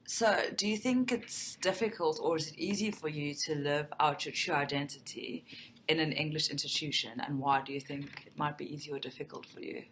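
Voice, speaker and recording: A woman interviewer who asks her questions politely and at a measured pace, often adding "mm" as she listens. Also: low voice, a little hiss or hum. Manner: polite and measured, with frequent "mm" acknowledgements.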